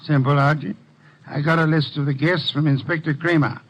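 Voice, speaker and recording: Snooty genius detective, kinda higher voice, precise n curt, old radio show. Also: male voice, fairly even intonation, fairly quick, husky, rough recording. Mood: smug. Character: imperious, dry genius private detective, a mature man. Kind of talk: old-time radio drama acting. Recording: vintage radio drama recording.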